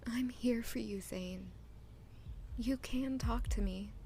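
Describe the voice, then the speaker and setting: A woman in an audio drama playing a caring young maid, speaking gently and earnestly to reassure. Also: fairly high pitch, takes it slowly, creaky, slight background noise. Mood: soothing.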